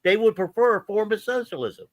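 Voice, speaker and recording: A man arguing a point in a casual discussion, insistent, halting and repeating words. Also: high voice, very animated, no echo.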